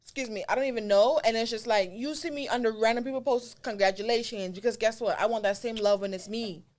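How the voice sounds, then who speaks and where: A Caribbean-accented woman with a voice a touch higher than average. She chats informally as a podcast co-host, blunt and sassy, leaning hard on her points with plenty of slang. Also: fast talker, a little mellow. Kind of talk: casual chat.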